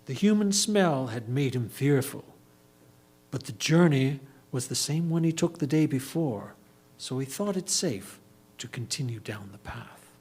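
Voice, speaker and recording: A man reading a story aloud as a performer, with measured, theatrical emphasis. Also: medium pitch, lively intonation, a little mellow, husky.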